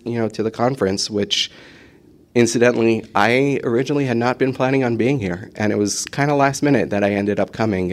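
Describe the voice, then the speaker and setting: A man with a fairly low voice speaks on a conference panel, telling his own recovery story in a loose, unscripted way. He stops often with "um" and "uh," breaks off words and starts again. It sounds like a meeting room at a conference. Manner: halting, many fillers and restarts.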